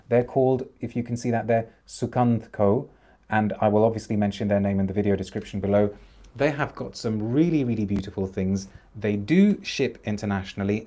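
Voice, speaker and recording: Enthousiaste man met lage stem, livestream thuis. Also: on the low side. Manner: enthusiastic, expressive, gestures a lot, frequent restarts. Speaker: perfume reviewer hosting a live stream. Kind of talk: unscripted live-stream chat, reading viewer comments. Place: small home room with shelves of perfume bottles. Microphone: camera at desk distance, seated.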